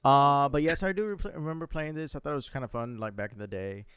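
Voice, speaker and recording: A man's voice: mid-range voice, fast, a crowd in the background. A young man co-hosting a podcast, chatting loosely and reading out a list with laughs and swearing. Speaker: podcast co-host. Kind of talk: casual chat.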